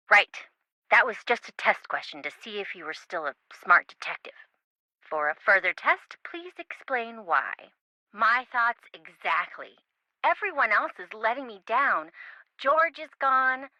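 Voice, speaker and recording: Sassy young best friend type from a video game, teasing and wisecracking, crisp bright voice, really animated. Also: female voice, fairly high pitch, dry recording, full character voice, poor audio. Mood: teasing, chatty. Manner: teasing, sarcastic, dryly exaggerated.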